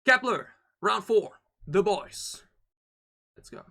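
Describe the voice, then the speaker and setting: Bright, higher-pitched man reacting excitedly. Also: slow, creaky. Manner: excitable, exclamatory. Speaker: YouTube reaction host. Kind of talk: casual, enthusiastic reaction commentary. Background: music.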